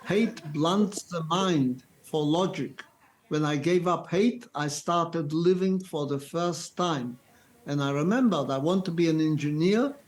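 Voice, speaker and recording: Elderly man in his 90s, slightly high voice, measured storytelling pace. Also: rough recording. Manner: slow, deliberate storytelling with restarts. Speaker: Holocaust survivor guest. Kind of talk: interview, personal testimony.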